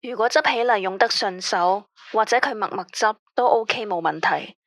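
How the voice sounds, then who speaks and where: An actress playing an anxious radio caller who speaks Cantonese with a Hong Kong accent in a tense, weary, complaining way. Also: female voice, mid-range voice, normal speed, fairly bright tone, dry recording, studio quality, lightly acted. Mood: uneasy. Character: female caller, anxious about a controlling ex-husband. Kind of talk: radio drama acting.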